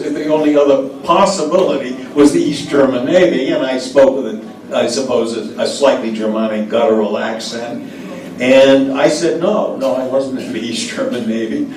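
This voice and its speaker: An older American man, a former diplomat, telling anecdotes in an interview, hesitant with frequent fillers. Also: mid-range voice. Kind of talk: storytelling interview answers. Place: café event room. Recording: echoey room, distant mic, background noise.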